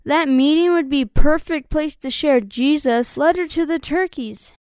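A young boy skunk from a children's storybook. The voice is very high, cheerful and eager. He talks in a simple, polite, earnest way, close and dry. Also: unhurried, dry recording. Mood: musing.

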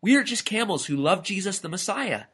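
A man's voice: high-pitched, animated, brisk, bright, forceful tone, slightly rough, pitched above the performer's own voice, exaggerated voice. A man voicing a cheerful, polite male camel with a friendly, courteous manner in a storybook. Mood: kindly, reassuring.

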